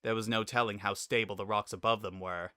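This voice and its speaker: Low, crisp-edged male story narrator. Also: steady tone, fairly quick, pushed and bright, no room sound, clean studio sound. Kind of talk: scripted dramatic story narration.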